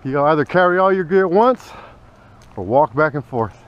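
A young man vlogging his fishing trip outdoors on a bridge, talking excitedly to viewers on an action camera. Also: on the high side, fairly quick, dull audio. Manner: excitable, talks to viewers as "you guys". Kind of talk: excited casual fishing vlog. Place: outdoors on a roadside bridge over a canal. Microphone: wearable action camera. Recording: loud wind noise outdoors. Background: wind and passing trucks.

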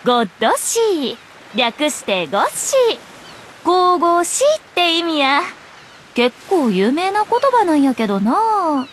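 A woman's voice: very high-pitched, big pitch swings, slow pace, slight background noise, exaggerated voice. A woman playing a breezy, teasing young journalist and playful liar in an anime game, speaking in a coquettish, lilting Kansai dialect. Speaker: game voice actor. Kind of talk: Japanese anime game voice acting.

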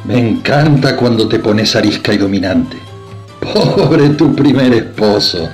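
A man's voice: medium pitch, animated, measured pace, lightly acted. An actor playing a coarse, boastful, mocking medieval king in a historical radio drama, with an Argentine accent and a goading manner. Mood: teasing, mocking. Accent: Argentine Spanish. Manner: sneering, goading. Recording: roomy echo, music under the voice.

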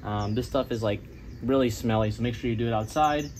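A man in middle age talks through a DIY job in an informal, think-aloud way. His pitch sits in the middle of the male range. He is recorded outdoors in a driveway, with clear noise and clicks behind him. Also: brisk, a little mellow. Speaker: DIY vlogger. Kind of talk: casual how-to narration.

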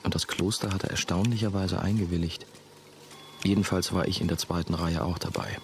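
An actor playing a brooding monastery novice who narrates his own story in a measured, musing, dry way. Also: low voice, fairly even intonation, noisy background. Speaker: narrator and main character. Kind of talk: first-person radio play narration.

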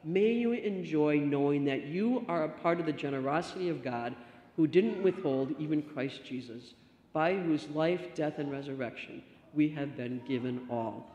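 A middle-aged woman pastor giving a stewardship talk from the lectern, telling a story with lively gestures. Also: sits low, normal speed, dull audio, slight background noise. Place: church sanctuary. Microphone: stand mic at lectern. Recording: echoey church hall reverb.

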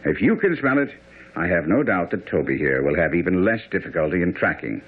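A thin radio recording carries a brilliant male detective, superior and precise. His husky voice swings widely in pitch as he talks. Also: big pitch swings, heavily acted. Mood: brisk. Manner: brisk, precise, condescending. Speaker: actor in a radio mystery. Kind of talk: old-time radio drama acting. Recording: muffled, narrow-band radio broadcast.

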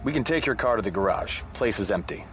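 An actor playing a weary, jaded night guard in a video game, grumbling in a laconic way. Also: male voice, lowish voice, lively intonation, quick pace, fairly bright tone. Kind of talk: cinematic video game dialogue. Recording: clear car and ambient noise.

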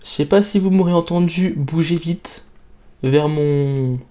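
Mellow, higher-voiced young man gaming. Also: unhurried. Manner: playful, self-mocking. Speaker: let's play gamer. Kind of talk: casual gameplay commentary.

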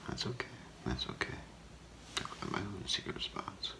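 A middle-aged man doing an ASMR role play as a gemologist, in a hushed, halting, whispery murmur. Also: noisy background. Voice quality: breathy, whispery, gravelly murmur. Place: small curtained room indoors.

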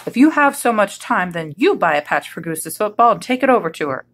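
A woman voicing a hurried, exasperated male rabbit in a children's storybook, impatient and insistent. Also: medium pitch, sing-song, fairly bright tone, husky, full character voice.

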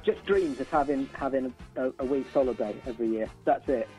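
A middle-aged male musician chatting casually as an interview guest, halting and repeating words for emphasis. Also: medium pitch, dark tone, soft background music, rough recording.

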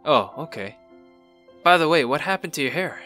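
A man playing a dry, reserved young man in a cartoon comic dub, with a terse, deadpan way of talking. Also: a little high, moderate pace, soft background music. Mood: calm, curious. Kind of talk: animated comic dub acting.

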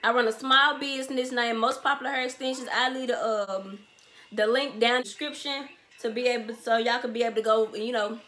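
A twenty-year-old woman from Memphis with a Southern accent, talking straight to camera in an animated, slangy way and repeating herself for emphasis. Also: a little high, fairly quick. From Memphis, Tennessee. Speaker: vlogger, small business owner. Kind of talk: casual Q&A vlog to camera. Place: bedroom, sitting on a bed, indoors.

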